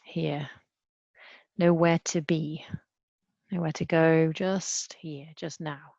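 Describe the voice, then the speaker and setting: A woman leading a guided body scan meditation as a mindfulness teacher, speaking gently and unhurriedly with long pauses. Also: low-pitched, fairly even intonation, gravelly, no room sound. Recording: dull, muffled lo-fi sound.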